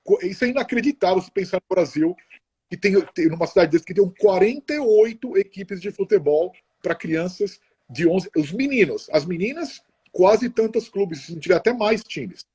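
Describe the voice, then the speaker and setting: Mellow husky middle-aged man chatting. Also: high-pitched, expressive. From Brazil. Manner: chatty, halting, many restarts and tag questions. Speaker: interview guest. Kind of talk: casual conversational interview.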